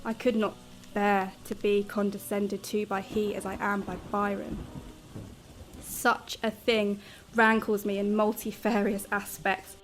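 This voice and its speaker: An actress plays an anguished, literary young woman narrating a radio drama in solemn, ornate phrases. Her pitch sits in the middle and stays fairly even. Faint music runs underneath. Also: measured pace, close to an everyday voice. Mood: melancholy, resentful. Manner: literary, solemn, formal diction.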